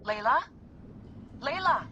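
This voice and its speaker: A brisk, exasperated medical officer in a game cutscene. Her voice is fairly high and natural, over a clearly noisy humming background. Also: animated, dull audio. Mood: exasperated concern. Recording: noisy background with vehicle-like hum.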